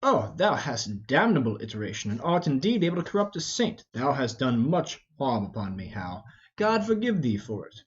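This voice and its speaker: A man's voice: medium pitch, big pitch swings, moderate pace, pitched below the performer's own voice, natural voice. A man voicing a blustering, roguish old knight in an amateur Shakespeare reading, full of mock-indignant rhetoric. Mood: mock-repentant. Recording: dull, lo-fi.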